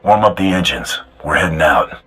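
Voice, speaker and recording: Tough male mercenary champion, laconic and gravelly, forceful edge, very low register, video game. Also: lively intonation, normal speed, faint music behind. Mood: cool, businesslike. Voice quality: gravelly, hard-edged. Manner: terse, cool. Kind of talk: video game cutscene acting.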